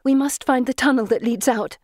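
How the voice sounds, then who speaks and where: A woman's voice: high-pitched, brisk, a little mellow, dry recording, studio quality, pitched above the performer's own voice, close to an everyday voice. A woman voicing a desperate, decisive teenage heroine in an audiobook, urgent and anxious. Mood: anxious resolve.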